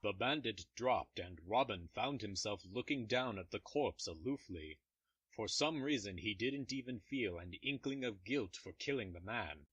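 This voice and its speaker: Low-voiced male story narrator. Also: lively intonation, moderate pace, a bit bright, breathy edge, dry recording, dull audio. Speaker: narrator of fan audio drama.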